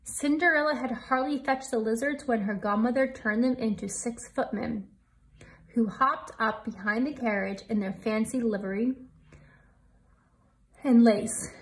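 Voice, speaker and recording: A woman's voice: fairly high pitch, unhurried, poor audio. A woman with an American accent, a teacher reading a storybook aloud to young children and explaining it expressively as she goes. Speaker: elementary school teacher.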